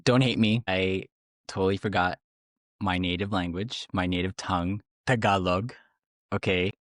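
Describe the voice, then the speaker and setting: Low-voiced guy born in the philippines, chatty podcast cohost, dry mic. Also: fairly even intonation, moderate pace, no room sound. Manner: chatty, laughs easily. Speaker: podcast co-host. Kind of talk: casual chat.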